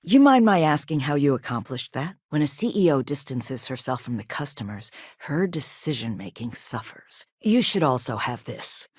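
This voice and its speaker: Video game chief executive: low female voice, very expressive range, courteous but firm, dry close sound. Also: normal speed, husky, no room sound, exaggerated voice. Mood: composed, businesslike. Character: poised, businesslike female arms company chief executive, quietly assertive. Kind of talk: video game dialogue acting.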